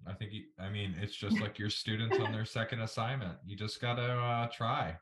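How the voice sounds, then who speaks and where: A man hosting a podcast, chatting in a relaxed, joking way and stumbling over words. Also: fairly low pitch, a little fast. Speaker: podcast host. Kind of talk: casual interview chat.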